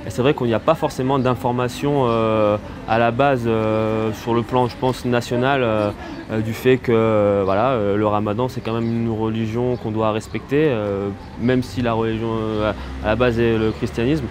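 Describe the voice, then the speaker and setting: Measured older man, street interview. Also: lowish voice, little pitch movement. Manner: measured, filler-heavy. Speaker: passer-by interviewed. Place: outdoors by a car park. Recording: outdoor street noise.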